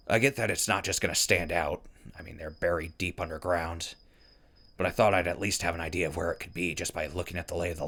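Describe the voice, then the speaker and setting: A man's voice: sits low, gravelly, a bit bright, heavily acted. A man playing a wry wasteland radio host and wanderer in an audio drama, talking conversationally. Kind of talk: audio drama log and mock sponsor reads.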